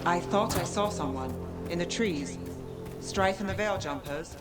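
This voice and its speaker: A woman playing a haughty, sharp-tongued human mage in a fantasy game, cool and imperious. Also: lowish voice, measured pace, loud music behind the voice, lightly acted. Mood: wary, cool.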